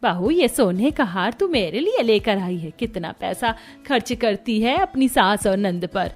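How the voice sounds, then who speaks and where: A woman's voice: mid-range voice, animated, measured pace. A woman acting a fawning older mother-in-law. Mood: gushing, doting. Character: older mother-in-law, doting on the rich daughter-in-law. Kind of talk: Hindi cartoon family story acting.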